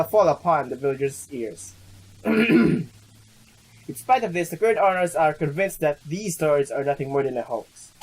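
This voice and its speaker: A man's voice: fairly high pitch, a little mellow, rough recording. A young man doing casual let's play commentary, stumbling and rambling as he reads game text aloud. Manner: halting, stumbling, rambling, mild swearing. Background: game music.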